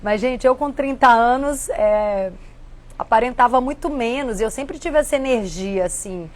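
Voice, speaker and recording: A woman, hosting a casual reflective talk and answering viewer questions in a warm, earnest way. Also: a little high, moderate pace. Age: 50s. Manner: warm, earnest, conversational with frequent "né".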